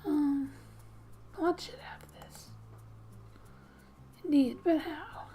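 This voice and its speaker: A woman speaking softly and breathily in gentle ASMR style, often slipping into a whisper as she reads a game story aloud with casual asides. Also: high voice, drawn-out words. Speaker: gaming ASMR creator. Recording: quiet background music. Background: soft music.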